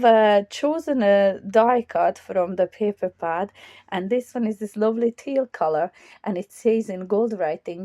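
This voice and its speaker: A woman's voice: mid-range voice, darkish tone, dry recording. A woman, recording a chatty, step-by-step crafting tutorial voiceover while her voice recovers and sometimes strains. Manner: chatty, enthusiastic, step-by-step explaining. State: voice recovering from loss, occasionally strained. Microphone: close voiceover mic.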